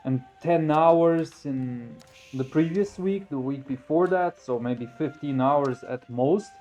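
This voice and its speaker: A young man talks in a relaxed, reflective way, as though thinking aloud. His voice sits a touch high for a man. Soft music plays quietly behind him. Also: slow pace. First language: Romanian. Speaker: gaming YouTuber. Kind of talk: casual commentary over a game replay.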